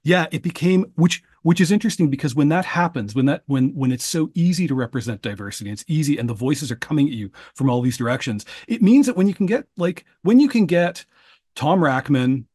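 A man's voice: on the high side, lively intonation, darkish tone, husky, dry recording, studio quality. A man, co-hosting and producing a book podcast, talking casually with frequent restarts and fillers. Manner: halting, many restarts and fillers.

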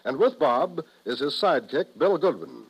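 A man's voice: a little high, big pitch swings, moderate pace, breathy edge, rough recording. An American man announcing on 1950s network radio in a polished, promotional manner. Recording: vintage 1950s radio broadcast.

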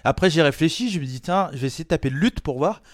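A man's voice: a little high, lively intonation, fast, dry recording, clean studio sound. A man from Brittany with an accent from France, chatting casually as a podcast guest. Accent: French (France). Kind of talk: casual chat.

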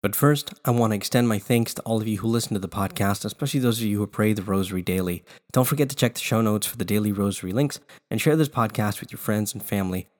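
Congested man hosting a prayer podcast. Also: on the low side. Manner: quick announcements, slow measured chanting of a litany. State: congested, recovering from lost voice. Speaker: podcast host. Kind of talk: podcast talk and prayer recitation.

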